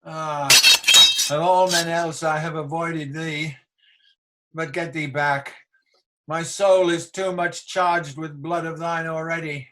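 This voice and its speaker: Doomed warrior tyrant , male actor: highish, piercing voice, declamatory radio drama verse, defiant to the end. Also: steady tone, takes it slowly, fairly bright tone, poor audio. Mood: brooding. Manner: declamatory, weighty verse delivery. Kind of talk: radio play Shakespeare acting. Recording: home recording over video call.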